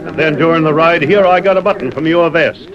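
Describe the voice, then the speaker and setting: An actor playing a blunt, shrewd frontier sheriff with a folksy Old West American accent in a 1930s radio western. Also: male voice, a little high, fairly quick, fairly bright tone, lightly acted. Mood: assertive, triumphant. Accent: American Old West rustic. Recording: muffled 1930s radio broadcast.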